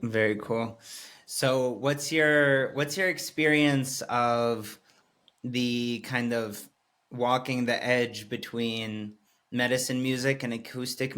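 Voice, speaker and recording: A man's voice: medium pitch, little pitch movement, takes it slowly, fairly bright tone, smooth. Enthusiastic male interviewer. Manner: enthusiastic, long winding questions. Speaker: podcast host, interviewer. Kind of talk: conversational interview.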